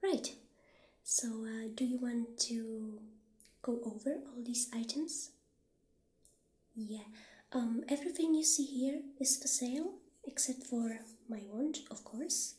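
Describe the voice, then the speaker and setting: Slow, soft-spoken witch shopkeeper played by a woman. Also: fairly high pitch. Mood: welcoming. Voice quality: soft, breathy, half-whispered. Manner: gentle, hesitant, halting delivery. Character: friendly, humble witch shopkeeper selling magic wares. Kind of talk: soft-spoken ASMR roleplay. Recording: roomy echo, clear background noise.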